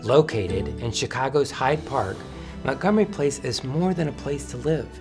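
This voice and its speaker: Smooth man hosting a podcast. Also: medium pitch, lively intonation, moderate pace, music in the background. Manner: smooth, announcer-like, friendly. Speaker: podcast host. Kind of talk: scripted introduction and interview.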